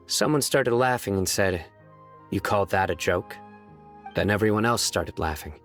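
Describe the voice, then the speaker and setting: A man voicing a serious, stoic young lawman in a video game, earnest and deadpan with a measured way of talking. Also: on the low side. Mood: earnest, reflective. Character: serious, stoic young male lawman who earnestly tells bad jokes. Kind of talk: video game character voice acting. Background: music.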